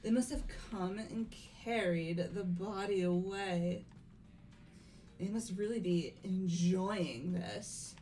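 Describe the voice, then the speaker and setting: A woman playing a composed but uneasy young lady in an amateur video game dub, speaking formally and haltingly. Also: mid-range voice, warm tone, close to an everyday voice. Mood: grave. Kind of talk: amateur voiced playthrough of a mystery game.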